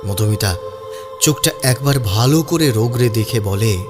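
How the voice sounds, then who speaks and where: A man's voice: mid-range voice, expressive, normal speed. A man narrating a scripted story in a dramatic audiobook style. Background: background music.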